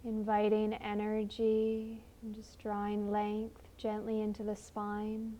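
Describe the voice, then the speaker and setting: A woman leading a guided meditation in a gentle, soothing, unhurried manner with long pauses. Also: medium pitch, monotone.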